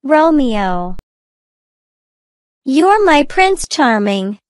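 A woman voicing a sweet, dainty young girl in a scripted cartoon, with a deliberate, theatrical manner. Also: high-pitched, big pitch swings, dry recording, studio quality, exaggerated voice. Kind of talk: slow scripted English-learning cartoon dialogue.

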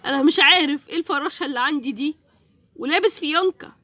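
A woman's voice: sits high, quick pace, pushed and bright, no room sound, pitched below the performer's own voice. A woman voicing a panicked old man who has been turned into a pony, pleading frantically with an Egyptian Arabic accent.

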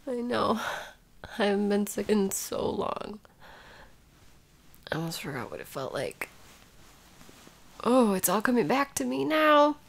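A woman playing a sick, sleepy, sweet and whiny girlfriend in an intimate ASMR roleplay, breathy and often dropping into a whisper, with drowsy sighs. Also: mid-range voice, big pitch swings, heavily acted. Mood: sleepy, cuddly. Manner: drowsy, drawn-out, sighing, intimate.

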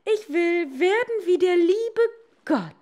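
Storybook fisherman's wife, played by a female reader: imperious, greedy, demanding, pitched high. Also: expressive, slow pace, darkish tone, full character voice. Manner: demanding, haughty. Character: greedy, imperious fisherman's wife craving ever more power.

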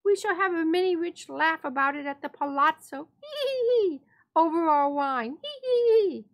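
A desperate nobleman from a gothic tale, played by a woman narrator, trapped and tipsy. The voice jumps high and loud, giggling and exclaiming as he pleads. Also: expressive, normal speed. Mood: desperate false mirth.

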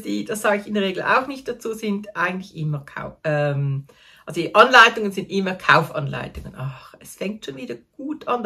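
A woman's voice: medium pitch, gravelly, sharp tone, husky. A woman in her fifties with a Swiss German accent, chatting casually to camera from her small craft corner at home, chatty and self-deprecating and laughing at herself. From Switzerland. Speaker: knitting vlogger.

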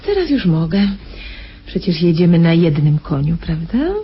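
An actress playing a cunning, cold-blooded blackmailing nurse in a radio play, speaking with sly, mock-sweet insinuation. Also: female voice, on the low side, big pitch swings, moderate pace, husky, heavily acted. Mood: coolly menacing. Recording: muffled, noisy old radio play recording.

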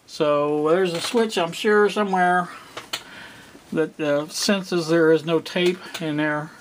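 A middle-aged man giving a casual, unscripted demonstration, halting and rambling as he thinks aloud. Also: fairly high pitch, steady tone, background noise. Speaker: hobbyist presenter. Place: indoor home workspace.

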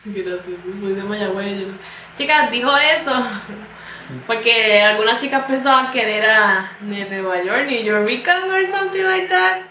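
A woman's voice: fairly high pitch, lively intonation, unhurried, muffled recording, a little hiss or hum. Giggly young woman vlogger, roomy sound. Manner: chatty, giggly, speaks to viewers. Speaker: beauty vlogger. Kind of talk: casual chat. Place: small indoor room against a plain wall. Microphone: far camera. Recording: roomy, distant, music behind.

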